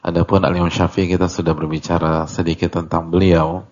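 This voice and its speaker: A man giving an Islamic religious lecture as a teacher, speaking deliberately in short phrases with pauses, on a muffled recording. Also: deep voice, level tone, darkish tone. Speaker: Islamic teacher leading a religious study class. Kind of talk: religious lecture explaining a classical text.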